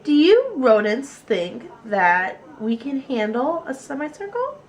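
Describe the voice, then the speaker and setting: A wry, easygoing schoolteacher from a children's picture book, played by a woman reading aloud. He speaks in a measured, instructing manner, with a fairly high pitch for the reader's voice. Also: very animated, slight background noise, full character voice. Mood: jovial.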